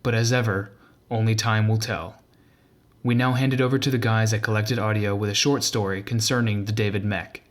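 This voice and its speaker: A man reading scripted documentary narration in a measured, formal manner. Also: lowish voice, steady tone. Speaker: video narrator.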